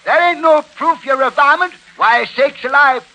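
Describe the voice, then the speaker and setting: High-pitched fussy old frontiersman. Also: moderate pace, sharp tone, a little hiss or hum, full character voice, rough recording. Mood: scolding. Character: fussy old frontier man scolding a wild boy. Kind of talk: 1940s radio western comedy acting. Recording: vintage 1940s radio recording.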